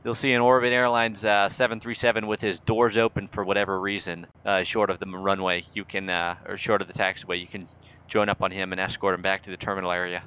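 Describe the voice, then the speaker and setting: A man's voice: low voice, little pitch movement, fast, pushed and bright. A man improvising as an air traffic controller in a flight simulator game, bossy, sarcastic and mock-authoritative. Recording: muffled voice chat with faint noise.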